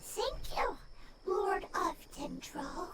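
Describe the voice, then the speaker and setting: A woman voicing a small, gentle fungus creature that talks in broken, halting words. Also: extremely high pitch, lively intonation, roomy sound, far from the mic, full character voice. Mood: earnest. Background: game music.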